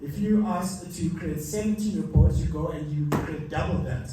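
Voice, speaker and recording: A man's voice: fairly high pitch, normal speed, far from the mic, noisy background. A man giving a live motivational masterclass, emphatic and repeating his key lines. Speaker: motivational speaker. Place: large hall with a live audience. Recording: echoey hall mic heard from afar.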